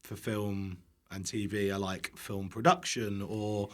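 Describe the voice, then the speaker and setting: A man's voice: on the low side, steady tone, takes it slowly. A young man with a British accent chatting casually as a podcast guest, self-deprecating and prone to swearing. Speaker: podcast guest, online cooking creator. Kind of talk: casual chat.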